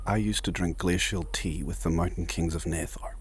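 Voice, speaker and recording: A man playing an ancient, proud and solemn warrior in a dark fantasy audio drama, speaking formally and gravely. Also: fairly even intonation, moderate pace, slight background noise. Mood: proud, dry. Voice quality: husky, very deep.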